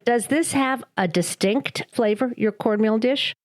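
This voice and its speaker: A woman's voice: fairly high pitch, a little slow. A woman hosting a podcast, reading polished narration and asking interview questions. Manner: polished, read-aloud delivery. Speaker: podcast host.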